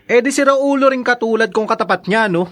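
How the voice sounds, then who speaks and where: A man's voice: very high voice, brisk, fairly bright tone. A man playing a mischievous, cheeky friend in a Filipino radio drama, with a Filipino accent. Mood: teasing. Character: mischievous, cheeky friend full of silly schemes.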